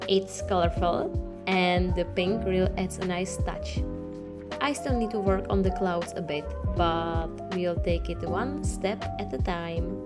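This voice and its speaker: Soft-spoken Czech woman over music. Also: lowish voice, steady tone, measured pace. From Czech Republic. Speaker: artist vlogger. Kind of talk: soft-spoken art vlog narration.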